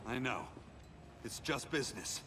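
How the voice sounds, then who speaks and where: An actor playing a weary retired speedster superhero in a game cutscene, earnest, wry and regretful. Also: male voice, medium pitch, animated, a little slow, very noisy, natural voice. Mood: guarded, challenging. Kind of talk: video game cutscene acting. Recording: loud music behind. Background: loud cinematic music.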